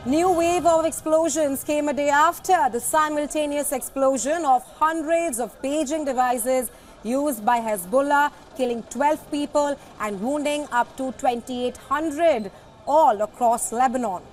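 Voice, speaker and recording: A woman's voice: high voice, unhurried, faint music behind. A woman presenting the news, reading a scripted report.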